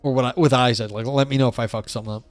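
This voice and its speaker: A man with a scratchy, hoarse voice on a livestream, reading philosophy passages aloud and then commenting casually, swearing and poking fun at himself. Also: medium pitch, fairly even intonation, very fast, soft background music. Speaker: streamer reading philosophy text.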